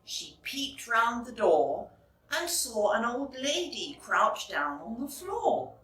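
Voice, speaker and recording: A woman narrating a children's storybook expressively, phrasing clearly for young listeners, like a teacher reading aloud. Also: on the high side, big pitch swings, slow, a bit bright. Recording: roomy echo, distant microphone.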